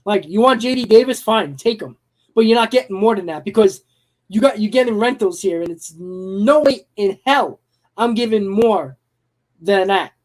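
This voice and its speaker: Hoarse, high-voiced man ranting. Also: expressive, moderate pace, rough recording. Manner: blunt, emphatic, opinionated rant. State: hoarse, losing his voice. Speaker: sports talk host. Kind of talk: live-streamed baseball opinion talk.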